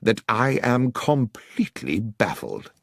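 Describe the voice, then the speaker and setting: Detective's companion in an audiobook, male reader acting: concerned, puzzled, sincere, lively intonation, dry sound. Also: mid-range voice, slow, gravelly, no echo, exaggerated voice. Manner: earnest, questioning. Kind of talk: audiobook character dialogue.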